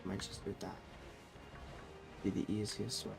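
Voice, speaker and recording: A man's voice: lowish voice, level tone, moderate pace, vocal fry, over music. A man streaming a game while sick with a cold, sounding nasal and chatting loosely with viewers, often correcting himself. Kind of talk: casual live-stream chat.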